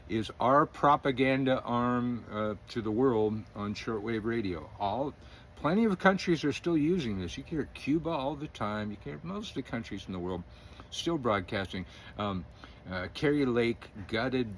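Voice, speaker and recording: Opinionated middle-aged man, low voice. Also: a little hiss or hum. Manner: rambling, opinionated, chuckles often, hesitant fillers. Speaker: independent news commentator. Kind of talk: casual political commentary.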